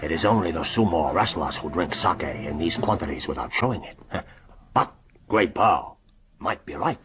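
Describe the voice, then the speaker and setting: An actor playing a commanding, jovial intelligence chief in a radio drama, ceremonious and theatrical. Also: male voice, rumbling low, animated, normal speed, a bit bright, soft background music.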